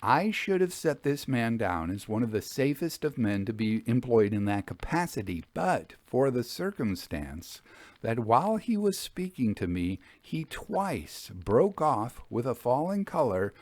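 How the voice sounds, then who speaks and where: A man's voice: mid-range voice, big pitch swings, a little mellow, no echo. Dry, close-miked male storyteller. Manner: measured, formal storytelling. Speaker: narrator. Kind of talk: scripted narration, literary ghost story audiobook.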